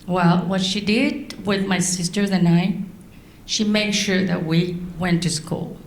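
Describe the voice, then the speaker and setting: A middle-aged woman from Laos, raised in France, giving a prepared speech to an audience in slow, deliberate phrases. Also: on the low side, roomy sound, a little hiss or hum. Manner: slow, deliberate delivery with long pauses. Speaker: guest speaker.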